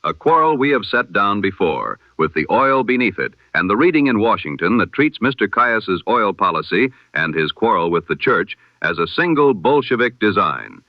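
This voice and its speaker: A man presenting a scripted news bulletin in the style of 1920s radio, with a measured, formal, solemn delivery. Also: fairly low pitch, big pitch swings, no room sound, muffled recording.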